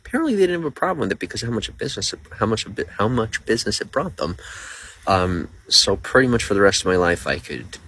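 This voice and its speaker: A man's voice: fairly low pitch, sing-song, fast talker, fairly bright tone, a little hiss or hum, poor audio. A young man streaming live, rambling casually while greeting viewers by name and reading out their comments. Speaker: livestreamer.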